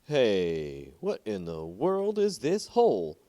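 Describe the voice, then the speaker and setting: A man's voice: on the low side, huge pitch swings, slow, dark tone, no room sound, heavily acted. A man voicing concerned, puzzled village men in a storybook reading, with an earnest, emphatic manner.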